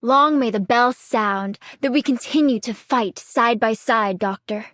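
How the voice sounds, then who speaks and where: Casting: devout, composed young female game hero. Fairly high pitch, formal measured sincerity, dry studio sound. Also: fairly bright tone, dry recording. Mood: solemn, sincere. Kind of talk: video game character voice lines.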